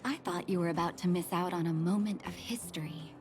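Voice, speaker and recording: A woman playing a composed, kind adult woman in a video game, measured and reflective. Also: on the low side, fairly even intonation, a little mellow, natural voice. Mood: teasing. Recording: game music under the voice.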